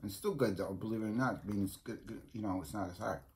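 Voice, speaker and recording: A man's voice: fairly low pitch, fast talker, darkish tone, a little hiss or hum. A middle-aged man with a New York accent, rambling casually to a close phone camera in a small room at home, often restarting his sentences. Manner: rambling, repeats himself, frequent restarts. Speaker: vlogger. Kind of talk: casual food review vlog. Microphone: phone or webcam close up.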